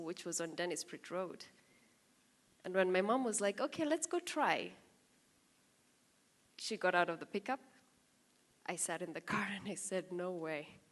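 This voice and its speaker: A woman in her thirties from Kenya with a Kenyan accent, telling her life story in a lively talk with asides and acted-out quotes. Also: lowish voice. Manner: lively, laughing, acts out quoted voices. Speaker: speaker giving a talk.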